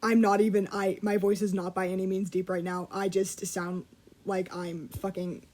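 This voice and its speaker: Giggly young woman pushing her voice lower. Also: level tone, moderate pace, a little mellow. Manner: chatty, self-deprecating, giggly, swears casually. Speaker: vlogger. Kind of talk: casual rambling monologue. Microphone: computer mic.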